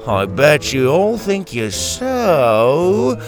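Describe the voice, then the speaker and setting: A man voicing a suspicious, sinister male ship crewmate who talks in a slow, menacing drawl in a children's story. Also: on the high side, wildly swooping pitch, over music, pitched above the performer's own voice, full character voice.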